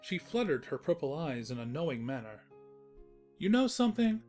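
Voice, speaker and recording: A man playing a teasing, sentimental, gentlemanly storybook narrator in a fan fiction audio drama. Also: a little high, sing-song, normal speed, faint music behind. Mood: sincere, courtly.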